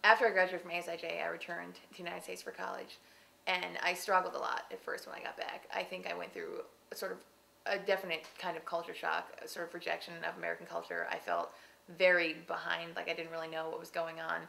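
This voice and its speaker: A woman, a former student, talking quickly and reflectively. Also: on the low side, creaky, bright, forceful tone.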